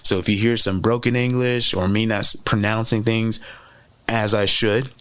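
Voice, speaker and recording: A man's voice: fairly low pitch, level tone, moderate pace, smooth. A man whose first language is French gives a motivational teaching talk as a podcast host, chatty and repeating key phrases with frequent "right?" checks.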